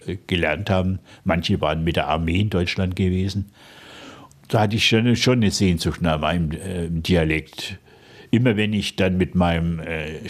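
An older man from Franconia with a Franconian accent, a radio interview guest reminiscing slowly and thoughtfully, often pausing with hesitations. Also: low-pitched, husky. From Franconia, Germany. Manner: slow, thoughtful, frequent hesitations with "äh". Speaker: interview guest, dialect poet.

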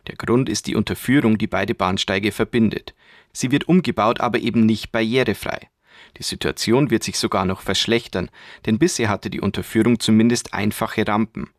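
A man's voice: lowish voice, normal speed, dry recording, studio quality. A man narrating a scripted radio news report as a reporter.

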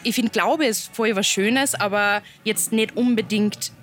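A young woman with an Upper Austrian accent chats loosely as a musician guest in an interview. Her talk runs freely, full of fillers and easy warmth of tone. Faint music plays under the recording. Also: medium pitch, measured pace. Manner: chatty, loose, laughs between thoughts.

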